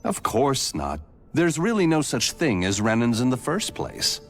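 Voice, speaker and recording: An actor playing a breezy, blunt know-it-all informant in a video game, explaining in an offhand way. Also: male voice, medium pitch, big pitch swings, unhurried, soft background music. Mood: blunt, amused. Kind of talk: video game cutscene voice acting.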